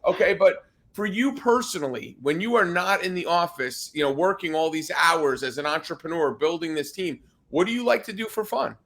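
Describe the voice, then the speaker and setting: A man's voice: on the high side, normal speed, fairly bright tone. A man hosting a podcast, chatting casually with a guest, often restarting and repeating himself and teasing playfully. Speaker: podcast host. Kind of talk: casual interview chat.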